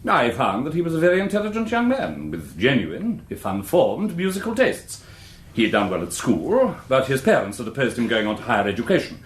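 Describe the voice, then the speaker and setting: An actor playing a dignified, cultured English college principal, giving courteous, careful testimony in a radio drama. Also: male voice, mid-range voice, wildly swooping pitch, fairly quick. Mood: careful, self-justifying. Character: dignified, cultured male Oxford college principal on the witness stand. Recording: echoey courtroom sound.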